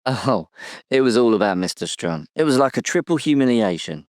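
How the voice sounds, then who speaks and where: A man's voice: medium pitch, very animated, a little fast, a little mellow, dry recording, studio quality, close to an everyday voice. A British man playing a tiny, aggrieved, boastful cartoon-book character in an audio drama interview, chatty and colloquial.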